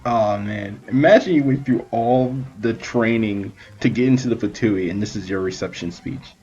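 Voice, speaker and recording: A man giving casual, amused and expressive gameplay commentary as he plays through a story quest. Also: fairly low pitch, moderate pace. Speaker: streamer reacting to a game. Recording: slightly dull microphone, game music behind.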